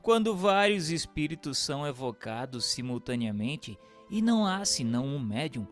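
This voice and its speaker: A man reading scripted notes and questions for a radio drama with formal, measured diction. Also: mid-range voice, big pitch swings, faint music behind. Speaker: reader posing the questions.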